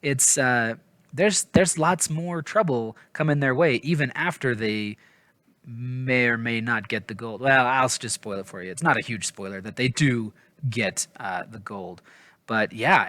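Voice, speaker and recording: A man's voice: medium pitch, a bit bright, no echo. Casual rambling man, dry close sound. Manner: hesitant, stumbling, self-deprecating; informal, hedging, apologetic. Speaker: book review vlogger. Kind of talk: casual rambling book review.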